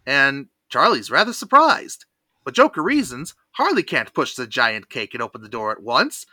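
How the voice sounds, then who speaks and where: A podcast host recaps a cartoon with theatrical flair. The voice is bright and very animated, rising and falling freely. It is recorded dry and close. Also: medium pitch, moderate pace, no room sound. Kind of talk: lively cartoon episode recap.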